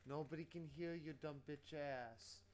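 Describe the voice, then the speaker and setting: A man's voice: a little high, fairly even intonation, unhurried, a little mellow, a little hiss or hum. A man hosting a casual live-stream movie night, bantering and teasing his guest.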